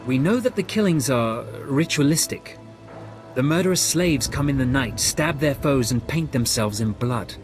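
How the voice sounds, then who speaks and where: An actor voicing a worried, baffled imperial informant in a sci-fi video game, with an earnest, dramatic delivery. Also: male voice, medium pitch, lively intonation, normal speed, faint music behind, close to an everyday voice. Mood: anxious, grave.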